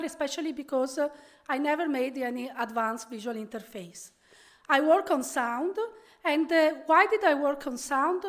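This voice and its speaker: A middle-aged Italian woman with a high voice speaks English with an Italian accent. She gives a conference keynote in a friendly, chatty way and often pauses to gather her words. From Italy. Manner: friendly, conversational, frequent pauses and fillers.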